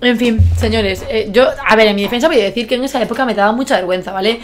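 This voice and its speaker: A woman in her twenties with a Galician Spanish accent, chatting excitedly and mocking herself in a bedroom vlog. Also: on the high side, rapid-fire, crowd noise. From Galicia, Spain. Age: 20s. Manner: excitable, self-mocking, bursts of shouting and laughter. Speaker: YouTuber. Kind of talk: reaction vlog, casual chat. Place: bedroom, indoors. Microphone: webcam with earphone mic.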